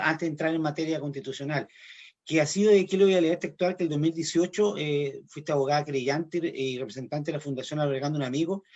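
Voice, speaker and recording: A man's voice: on the high side, darkish tone, muffled recording. A man interviewing a guest, asking long, wordy questions and often restarting his sentences.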